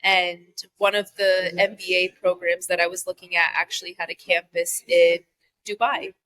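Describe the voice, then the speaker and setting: A woman's voice: fairly low pitch, moderate pace, poor audio. An American woman in her thirties, a professional footballer talking casually as a guest on an interview show, with lots of "you know" and "um". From United States. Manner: relaxed, many fillers like "you know" and "um".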